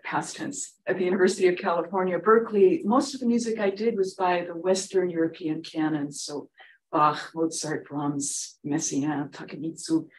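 A woman's voice: lowish voice, steady tone, recorded from a distance, low-quality recording. Woman lecturing from across a room. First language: Ukrainian. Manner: measured, precise lecturing. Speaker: conductor and panel presenter. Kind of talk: prepared academic talk. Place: seminar room with a panel at a table.

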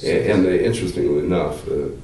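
An older man, a law professor, explaining at length in a measured, halting way with many fillers, seated in a wood-paneled room. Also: low voice, steady tone, warm tone. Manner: gestures with hands while talking. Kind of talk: explanatory interview answers. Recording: roomy, slightly distant, faint hiss.

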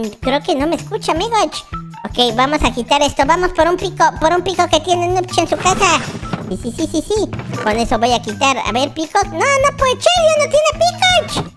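A host voicing a baby boy character in a very high, childlike voice, giving excitable, exclamatory Minecraft roleplay commentary and repeating words. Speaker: gaming video host. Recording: children's music behind the voice. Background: loud playful children's music.

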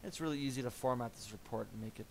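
A man narrating a software product demo as a voice-over, in a measured explanatory tone. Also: medium pitch, gravelly, slight background noise.

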